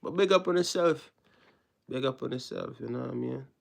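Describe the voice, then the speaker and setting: A man's voice: medium pitch, fairly quick, vocal fry. A man touched by talk, chatting casually on a live stream in a slangy way, often checking that listeners follow. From Jamaica. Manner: slangy, repeats check-in tag phrases.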